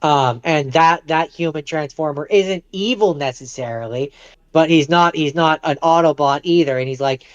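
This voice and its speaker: A smooth-voiced man with a slightly high pitch chats casually about films as a podcast co-host. The sound is dry and a little dull. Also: moderate pace, no room sound. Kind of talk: casual movie discussion.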